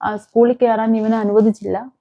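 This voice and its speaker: Female crime-channel host, fairly high voice, chatty explanatory storytelling with some English mixed in, slightly muffled lo-fi recording. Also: level tone, moderate pace. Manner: conversational, explanatory, mixing in English words. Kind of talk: informal true-crime storytelling to camera or mic. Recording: dull, muffled lo-fi sound.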